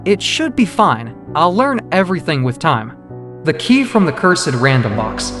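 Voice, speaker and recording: An actor playing a cool, self-assured young hunter hero, terse and brooding, in an anime-style dub. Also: male voice, on the high side, a bit bright.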